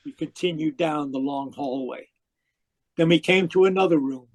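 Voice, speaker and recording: A man acting a tormented dead man who narrates his afterlife in old-time radio mystery style, halting and pleading. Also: on the high side, no room sound. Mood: haunted, weary. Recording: live video call performance.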